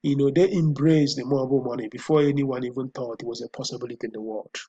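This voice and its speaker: A man's voice: mid-range voice, steady tone, quick pace, mellow, slightly rough, muffled recording. A man with a Nigerian accent hosting a solo commentary show, thinking aloud with frequent repeats and restarts. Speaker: host.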